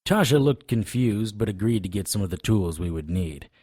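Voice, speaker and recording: An actor playing a wry, tough supernatural private investigator in a noir audio drama, with a deadpan, sardonic delivery. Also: male voice, fairly low pitch, moderate pace, noisy background, natural voice. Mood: wry narration.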